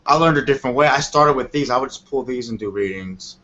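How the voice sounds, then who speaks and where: A man's voice: medium pitch, fast talker, pushed and bright. A man working as a tarot reader, explaining things casually and off the cuff in a rambling way, often checking that he is understood. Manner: rambling, repeats "you know what I mean". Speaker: tarot reader on a call-in show. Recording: dull lo-fi audio with clear noise.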